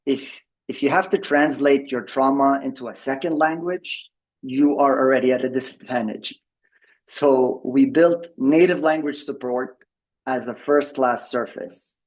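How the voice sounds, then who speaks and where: A man's voice: mid-range voice, low-quality recording. A man giving a tech presentation at a members' meeting over a video call. He talks with many fillers and restarts. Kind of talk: conference presentation.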